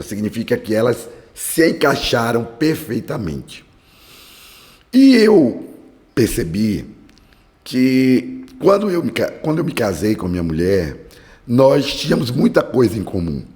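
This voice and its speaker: A hoarse man leading an earnest, fervent Bible study teaching with prayer. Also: mid-range voice, very animated, a little slow, a little mellow. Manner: earnest, fervent preaching cadence. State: hoarse from a cold. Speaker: Bible study podcast host. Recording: long hall reverb.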